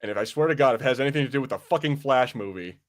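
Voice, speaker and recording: A man with a medium-pitched voice. He talks casually as a podcast co-host, rambling a little and swearing freely. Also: racing. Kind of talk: casual pop culture podcast chat.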